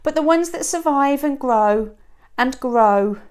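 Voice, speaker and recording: A woman in her thirties reading a children's picture book aloud like a teacher, with expressive emphasis and dramatic pauses. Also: high voice. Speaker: teacher reading to a young class. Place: small classroom, indoors. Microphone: clip-on lapel mic.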